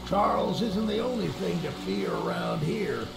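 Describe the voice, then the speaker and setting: Creaky, animated old monster hunter. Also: male voice, high voice, a little slow, heavily acted. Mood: conspiratorial, inviting. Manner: folksy, animated, theatrical. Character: eager old monster-hunting man, friendly and folksy. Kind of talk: video game cutscene acting. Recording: roomy echo with music underneath.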